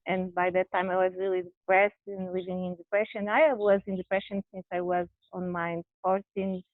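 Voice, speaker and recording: Halting woman guest on a tinny call. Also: fairly low pitch, flat delivery, no echo. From Brazil. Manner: halting, searching for words, frequent restarts. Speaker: interview guest. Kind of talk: candid personal conversation. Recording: tinny phone-like video call audio.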